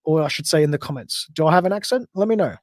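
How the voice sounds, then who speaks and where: A man's voice: fairly high pitch, lively intonation, very fast, no room sound, clean studio sound. An Australian man hosting a solo podcast livestream, chatting casually and rambling with frequent self-corrections. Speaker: podcast host.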